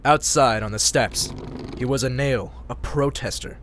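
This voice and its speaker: A man's voice: mid-range voice, measured pace, fairly bright tone, slight background noise, close to an everyday voice. A man voicing an anxious, fussy robot official who is easily rattled, in a motion comic. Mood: matter-of-fact. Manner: fussy, nervous. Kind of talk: animated motion-comic dialogue.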